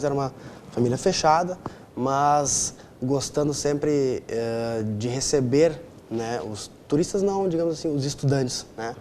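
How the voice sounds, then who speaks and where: A man's voice: medium pitch, darkish tone, slight background noise. A man in his twenties from southern Brazil with a southern Brazilian accent, answering conversationally as an interview guest and often adding tag questions for agreement. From Rio Grande do Sul, southern Brazil. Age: 20s.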